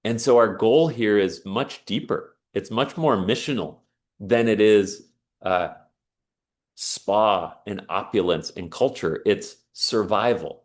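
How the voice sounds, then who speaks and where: A man's voice: lowish voice, slow pace. A man giving an earnest, emphatic inspirational talk and telling a personal story. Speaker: speaker on sauna and resilience.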